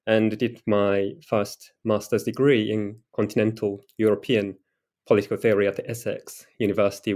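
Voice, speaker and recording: A middle-aged man from Japan, a political theorist answering interview questions in a careful, measured way. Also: lowish voice, darkish tone. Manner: careful, measured explanation with occasional fillers.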